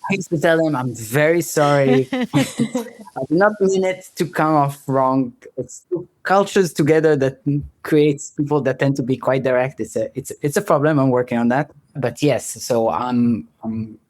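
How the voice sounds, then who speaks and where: A man in his thirties being interviewed, born in France and raised in Israel. He speaks bluntly but haltingly, repeating words and laughing at himself. His voice sits at an ordinary middle pitch. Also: a little mellow. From born in France, grew up in Israel. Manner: direct, halting with many restarts, laughs at himself. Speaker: guest. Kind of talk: conversational interview answers.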